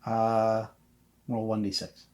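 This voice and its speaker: A man's voice: fairly low pitch, monotone, slow pace, noisy background. A man narrating a tabletop horror game as game master, describing scenes and asking players for rolls.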